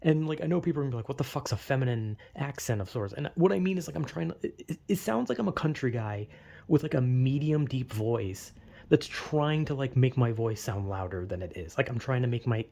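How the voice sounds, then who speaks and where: A man's voice: mid-range voice, expressive, a little fast, gravelly, warm tone. A young man vlogging in a rambling, self-deprecating way, full of "like" fillers, with a slight lisp. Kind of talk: casual rambling monologue.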